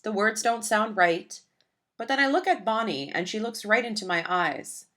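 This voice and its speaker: A woman voicing an earnest, shy young schoolgirl who narrates her own storybook tale with deliberate, childlike phrasing. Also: mid-range voice, animated, a bit bright, natural voice.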